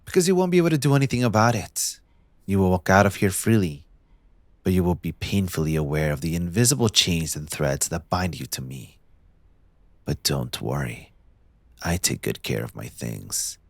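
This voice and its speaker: Man acting a deep-voiced, scheming young mafia heir. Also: gravelly, no echo, full character voice. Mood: possessive, menacing. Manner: theatrical, condescending, deliberate villainous drawl. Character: possessive, scheming young male mafia heir, smug and menacing. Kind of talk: scripted ASMR audio roleplay.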